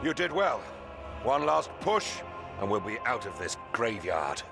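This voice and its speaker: Slow, grandiose zealous holy war commander. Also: male voice, on the low side, very animated, gravelly, exaggerated voice. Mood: grave, urgent. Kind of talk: dramatic video game acting. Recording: loud music behind the voice.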